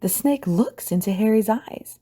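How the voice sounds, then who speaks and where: A woman's voice: medium pitch, expressive, a little slow, mellow, husky. A woman narrating a scripted audio drama as storyteller.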